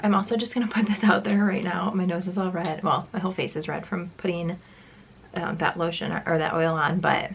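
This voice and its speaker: A young woman chats as she gets ready, rambling through her thoughts with plenty of fillers. Her nose is blocked, so her voice sounds stuffy and congested, and it sits a little low for a woman. A faint room hum runs behind her. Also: steady tone, a little fast, gravelly. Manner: casual and rambling, many likes and ums. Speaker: beauty vlogger. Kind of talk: chatty get-ready-with-me vlog.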